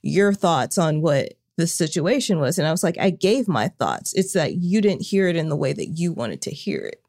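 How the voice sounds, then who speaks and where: Low, monotone woman co-host. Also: moderate pace. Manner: monotone, flat delivery. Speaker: podcast co-host. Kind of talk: casual chat.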